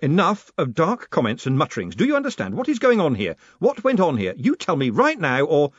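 A man voicing a postmaster in an audiobook, smooth-talking, snappy and sardonic, with a commanding manner. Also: a little high, huge pitch swings, brisk, no room sound, highs cut off, pitched above the performer's own voice, full character voice. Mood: angry, snapping. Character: brisk, exasperated, smooth-talking male postmaster.

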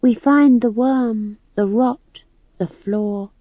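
A man's voice: very high-pitched, takes it slowly, no echo, pitched above the performer's own voice. A man reading an audiobook, voicing a small boy who recites solemn verse like a grand old actor. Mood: solemn declaiming. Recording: dull band-limited audio.

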